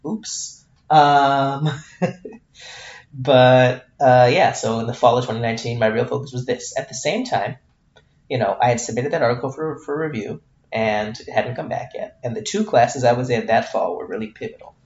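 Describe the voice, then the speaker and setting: A man's voice: medium pitch, normal speed, darkish tone. A man hosting his own podcast, talking candidly and self-deprecatingly in a reflective solo monologue, full of fillers and restarts. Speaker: podcast host. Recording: roomy, dull lo-fi sound.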